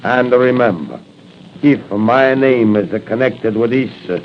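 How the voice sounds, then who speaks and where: An actor playing a sinister, commanding underworld boss in 1940s radio style. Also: male voice, medium pitch, unhurried, lightly acted. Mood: coldly threatening. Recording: muffled noisy 1940 radio recording.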